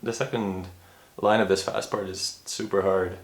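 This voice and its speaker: A man chatting casually to the camera in a room at home, self-deprecating and halting, with frequent restarts. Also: deep voice, little pitch movement. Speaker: vlogger. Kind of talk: casual vlog talk to camera. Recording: roomy echo with faint music.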